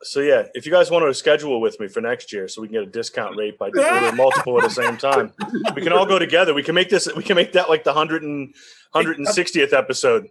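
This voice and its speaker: Guy podcast co-host, medium pitch, joking around, casual chat. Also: lively intonation, quick pace, fairly bright tone, slight background noise.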